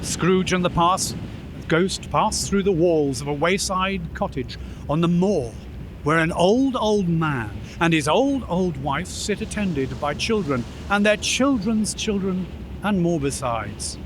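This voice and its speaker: A man's voice: sits high, expressive, slow, a little hiss or hum. A man narrating a radio play as scripted, dramatic storytelling. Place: live on stage in a church.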